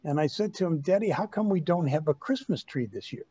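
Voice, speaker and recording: A man's voice: a little high, lively intonation, brisk, warm tone, breathy edge, dry recording. Older man reminiscing on a muffled call. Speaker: group participant. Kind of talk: casual personal storytelling. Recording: dry, dull video-call audio.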